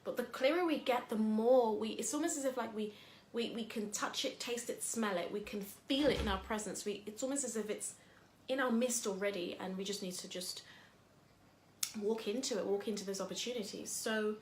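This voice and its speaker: A woman's voice: on the high side, brisk, echoey room, slight background noise, low-quality recording. A woman from England hosting a casual motivational talk. She talks in a chatty, rambling way with lots of fillers. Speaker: host.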